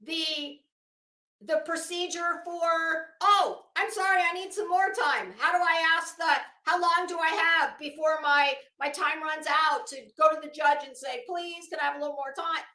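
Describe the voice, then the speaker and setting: A woman's voice: very high-pitched, steady tone, moderate pace, sharp tone. A woman giving unscripted, opinionated legal commentary in an emphatic, sarcastic, exasperated way, swearing freely and often repeating words as she goes. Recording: roomy, echoing room sound.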